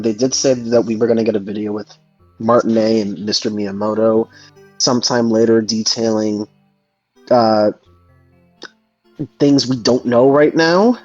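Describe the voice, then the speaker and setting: A man co-hosting a casual discussion in a halting, hesitant way, on a lo-fi mic with music behind. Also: medium pitch, a little mellow, no room sound. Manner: hesitant, halting delivery with frequent ums and repeats. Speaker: co-host. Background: background music.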